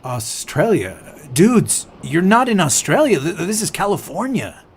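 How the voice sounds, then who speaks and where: An actor playing a skeptical, exasperated everyman in an audio story, halting and stammering in disbelief. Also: high voice, very animated, breathy edge, exaggerated voice. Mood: incredulous. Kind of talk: audio fiction character acting.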